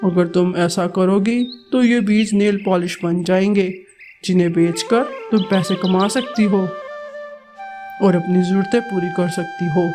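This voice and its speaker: A woman voicing a calm, grateful male vulture who speaks slowly and kindly in a cartoon, with music behind. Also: medium pitch, close to an everyday voice. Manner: slow, measured, kindly. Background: background music.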